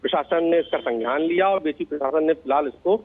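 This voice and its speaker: A male reporter delivers a live field report in a fairly high-pitched voice. The sound is thin and narrow, like a phone line into a news studio. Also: quick pace.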